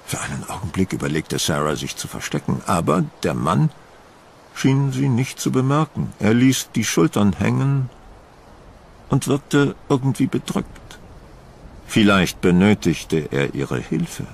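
A man narrating a horror audio drama in a dramatic, deliberate storytelling manner, as a brooding gothic storyteller. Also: on the low side, sing-song, vocal fry, a little hiss or hum, full character voice. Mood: suspenseful.

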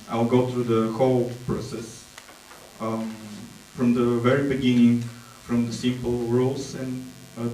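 A Bulgarian man in his thirties giving a conference presentation in English, hesitant and pausing to search for words. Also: medium pitch, darkish tone. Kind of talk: slow conference talk with slides. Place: dark auditorium stage. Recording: echoey hall, distant mic, mains hum.

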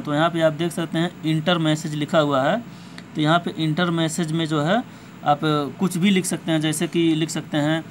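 Higher-voiced man giving phone tips over noise. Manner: repetitive, hesitant, addresses viewers as friends. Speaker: tech tutorial host. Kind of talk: informal step-by-step phone tutorial narration. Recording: clear background noise, amateur recording.

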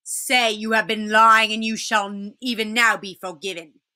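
A woman's voice: medium pitch, steady tone, normal speed, pushed and bright. A woman voicing a cruel, furious witch queen in a storybook, with a commanding, haughty and emphatic delivery. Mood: enraged, threatening.

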